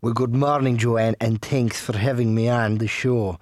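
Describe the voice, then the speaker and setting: A man's voice: mid-range voice, fairly even intonation, normal speed, darkish tone, no echo, studio quality, lightly acted. An actor with an Irish accent playing an earnest, defensive farmers' spokesman being interviewed in a comic radio play. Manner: earnest, evasive, formal.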